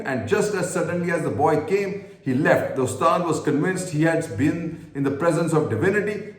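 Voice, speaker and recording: Earnest man reading aloud, echoey room. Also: on the high side. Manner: earnest, stumbles and self-corrects while reading. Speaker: commentator reading an essay aloud. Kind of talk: reading aloud with personal asides.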